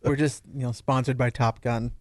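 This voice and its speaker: A man's voice: medium pitch, little pitch movement, normal speed, dry recording. A man on a podcast panel, bantering and joking casually.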